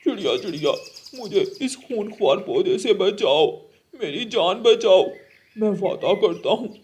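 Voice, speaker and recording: A performer acts out a greedy, treacherous male crow for a children's cartoon. The voice is rough and husky, with slow, exaggerated delivery. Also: mid-range voice, lively intonation, darkish tone. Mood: desperate. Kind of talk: Hindi children's cartoon acting.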